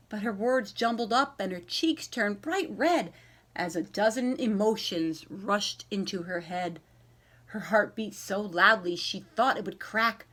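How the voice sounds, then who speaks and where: A woman reading a children's storybook aloud and chatting to young listeners, expressive and encouraging, with stretched key words and dramatic pauses. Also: mid-range voice. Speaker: library read-aloud host.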